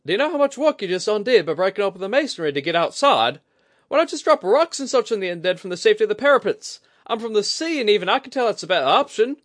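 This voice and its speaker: A man voicing a hot-tempered, blunt seafaring woman in an audiobook story, snappish, with rough folksy speech. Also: high voice, big pitch swings, fast, a bit bright, pitched above the performer's own voice. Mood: exasperated. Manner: snappish, rough colloquial grammar.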